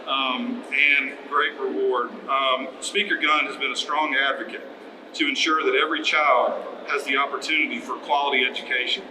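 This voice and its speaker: A middle-aged American man hosting a public announcement, speaking in a halting, enthusiastic way. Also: medium pitch, steady tone. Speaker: event host, organization leader. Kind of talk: live introduction speech at a public announcement. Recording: echoey room with clear background noise.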